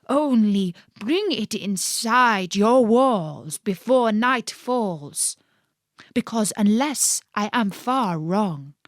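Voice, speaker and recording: Woman as scheming male Greek captive, storybook myth, persuasive, declamatory, unhurried, dry close sound. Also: medium pitch, dry recording, lightly acted. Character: Sinon, lying Greek man, persuasive and aggrieved schemer.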